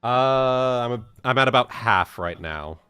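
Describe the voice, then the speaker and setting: A man's voice: medium pitch, lively intonation, unhurried, sharp tone, clean tone. A man chatting casually as a player in a tabletop roleplaying game. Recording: dry voice with background music. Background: music.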